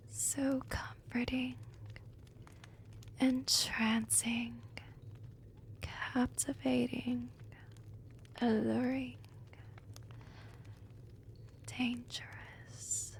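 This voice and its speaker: A woman playing a seductive, commanding vampire in an ASMR roleplay, breathy and whispery, coaxing and teasing in a hypnotic manner. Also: on the high side, level tone, darkish tone, slight background noise, heavily acted. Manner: slow, hypnotic, coaxing, dominant.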